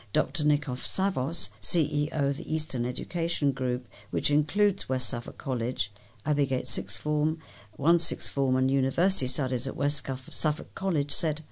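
Volunteer local news reader, medium-pitched voice, scripted read-aloud delivery.

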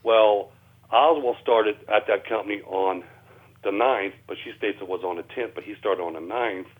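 A man's voice: fairly low pitch, moderate pace. A man with a folksy, ungrammatical way of talking, answering as a guest researcher in a radio interview over the telephone. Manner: folksy, ungrammatical plain speech. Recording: tinny phone line.

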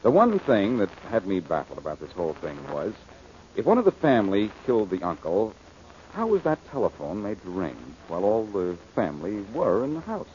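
An actor playing a suave, wisecracking amateur detective in an old-time radio drama, glib, teasing and self-assured. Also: male voice, lowish voice, wildly swooping pitch, fairly quick, a little mellow. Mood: cocky, confident. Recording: muffled, noisy old radio recording.

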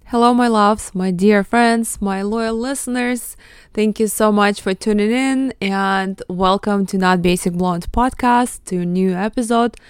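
A woman's voice: medium pitch, steady tone, unhurried, clear, resonant tone, no echo, studio quality. A woman from Ukraine whose first language is Russian, hosting her podcast in an earnest, heartfelt and thankful way. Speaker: podcast host. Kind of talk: solo podcast intro.

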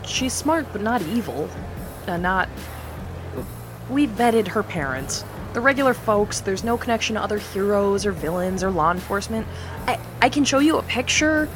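A woman's voice: mid-range voice, lively intonation, moderate pace, music in the background, natural voice. A woman playing an earnest young charity worker, hesitant and eager, with some stammering. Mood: nervous. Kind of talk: audio drama acting.

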